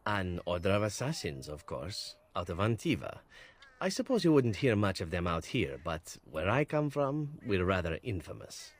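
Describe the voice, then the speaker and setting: Roguish assassin, animated intonation, wry charm, courtly phrasing, fantasy video game dialogue. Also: male voice, low voice, normal speed. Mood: glib, teasing. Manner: wry, teasing, ornately polite. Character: captured male assassin, wry, glib, charming and unrepentant.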